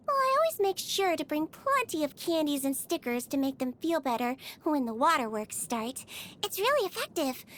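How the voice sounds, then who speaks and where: A woman playing a small, sweet, earnest creature who works as a nurse in a video game, gentle and bubbly with an innocently clinical manner. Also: extremely high pitch, a little hiss or hum. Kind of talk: video game character voice lines. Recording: music behind the voice.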